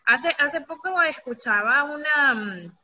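A woman with a Venezuelan accent talking casually, telling things in an animated way with acted-out quotes, on a dull lo-fi recording. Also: high-pitched, measured pace. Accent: Venezuelan Spanish. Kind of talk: casual conversational talk. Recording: dull lo-fi audio with faint noise.

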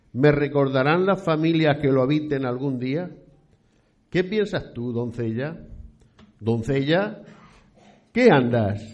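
A man's voice: a little high, lo-fi sound. A man playing a frail, devout old priest near death in a radio drama, speaking solemnly in archaic, declamatory phrases. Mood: querulous. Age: older.

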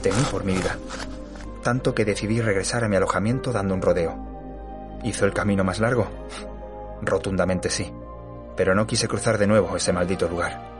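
An actor playing a weary surveyor who narrates an eerie horror tale in a measured, literary, sombre way. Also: male voice, deep voice, fairly even intonation, natural voice. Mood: haunted. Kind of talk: dramatic first-person audio drama narration. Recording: music behind the voice.

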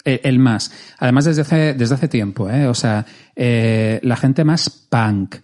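A man's voice: mid-range voice, slow, a little mellow. A man from Madrid hosting a casual chat, cheeky and playful, using plenty of slang. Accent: Madrid Spanish. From Spain. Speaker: host.

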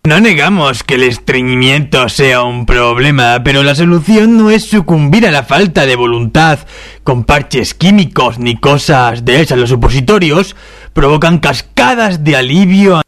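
A man's voice: on the high side, unhurried, fairly bright tone. A man playing an earnest, solemn interviewee in a radio comedy news report. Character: male spokesmen in mock news report, earnest and grave.

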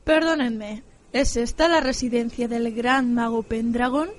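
A woman's voice: on the high side, steady tone, unhurried, noisy background, close to an everyday voice. A woman playing a formal, courteous royal messenger in a radio drama.

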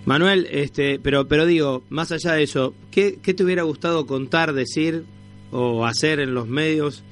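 A man with a Rioplatense Argentine accent hosting a casual radio interview, attentive and quick to chime in. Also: a little high, faint music behind. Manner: attentive, frequently interjecting short acknowledgements.

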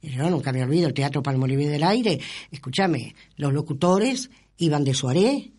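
Fairly high-voiced Argentine man reminiscing. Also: animated, normal speed, a little mellow. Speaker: interviewee recalling radio broadcasts. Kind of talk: casual reminiscing interview.

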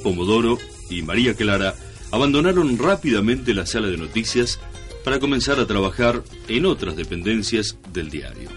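Low-voiced man narrating a radio serial. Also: animated, moderate pace, faint music behind.

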